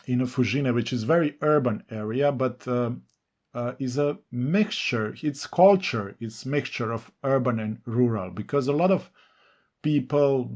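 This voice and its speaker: A man with a Slovenian accent, a novelist whose first language is Serbo-Croatian, answering interview questions in a halting way with many restarts and fillers. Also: medium pitch, darkish tone. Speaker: novelist guest.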